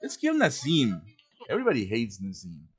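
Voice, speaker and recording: A man's voice: mid-range voice, huge pitch swings, normal speed, husky. A man hosting an informal livestream tutorial, chatty and explaining things step by step with frequent check-in questions. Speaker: host and instructor of a livestream tutorial. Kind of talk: informal live software tutorial.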